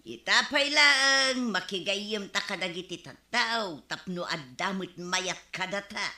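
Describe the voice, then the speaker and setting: A woman's voice: medium pitch, lively intonation, slow, bright, forceful tone, full character voice. An actress playing an envious, quarrelsome village woman in a Filipino comedy radio drama, with an Ilocano accent and an emphatic delivery. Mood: plotting. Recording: roomy, echoing studio sound.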